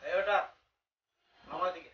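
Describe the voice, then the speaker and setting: A man's voice: sits high, unhurried. A man with a Bangladeshi accent playing a brash young student in a web drama. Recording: echoey, dull sound with music.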